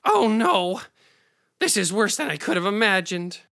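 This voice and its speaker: A woman's voice: medium pitch, sing-song, a little slow, vocal fry, fairly bright tone, exaggerated voice. A woman voicing a prickly, proud rabbit in a children's fantasy audio drama, bossy and exasperated, with a haughty, scolding manner. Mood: alarmed.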